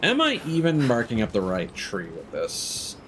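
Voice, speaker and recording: A man's voice: medium pitch, wildly swooping pitch, measured pace, music in the background. A man giving casual live commentary as he streams a video game, thinking aloud hesitantly.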